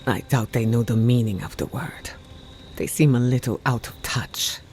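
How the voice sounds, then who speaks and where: An actress playing an earnest, burdened but resolute woman scholar in a fantasy video game, speaking in a measured, reflective way. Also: extremely low pitch, darkish tone, slight background noise. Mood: bitter, burdened. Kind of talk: dramatic video game dialogue.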